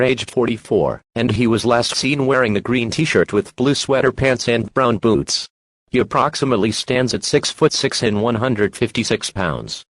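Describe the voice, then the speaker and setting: Husky, dry-sounding male emergency announcer. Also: mid-range voice, no echo, poor audio. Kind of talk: scripted emergency broadcast announcement.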